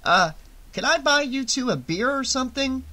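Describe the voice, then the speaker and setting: Casting: male reader as anxious meek guy, pitched up, hesitant and pleading, audiobook drama. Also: very animated, moderate pace, sharp tone, heavily acted. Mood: nervous pleading. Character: timid, nervous man out of his depth.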